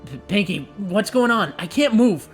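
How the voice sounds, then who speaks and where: A woman voicing a brash, sporty young female pegasus pony, talking quickly. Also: mid-range voice, expressive, soft background music, voice pushed up, close to an everyday voice. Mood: worried.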